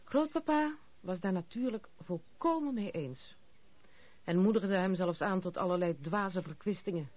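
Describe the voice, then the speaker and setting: A woman's voice: medium pitch, a little slow, natural voice. An actress playing a proud, outspoken young noblewoman with some bitterness in a Dutch radio play. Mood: bitter, confiding. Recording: muffled, noisy, narrow-band old radio recording.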